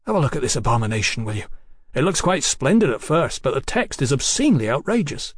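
A man voicing an earnest, alarmist Victorian gentleman in an audiobook, speaking formally and emphatically. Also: medium pitch, animated, very fast, husky, no echo. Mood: indignant.

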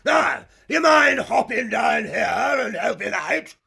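Loud, high, blustering hare. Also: male voice, lively intonation, slow, vocal fry, breathy edge, exaggerated voice. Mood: blustering, sarcastic. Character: bluff, blustering old-chap male hare. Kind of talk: audiobook character voice.

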